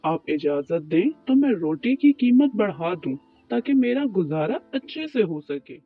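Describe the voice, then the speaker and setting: A man's voice: high voice, big pitch swings, a little slow, heavily acted. A man playing a poor, worried, humble bread baker in an Urdu moral cartoon story, pleading and deferential. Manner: pleading, deferential, talks to himself. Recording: muffled lo-fi track with music behind.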